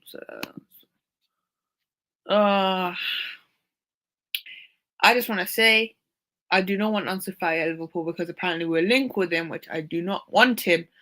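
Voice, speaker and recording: Woman, casual football chat, low pitch, sharp bright tone, hesitant. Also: rough recording. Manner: hesitant, thinking aloud with fillers. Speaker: co-host, neutral fan.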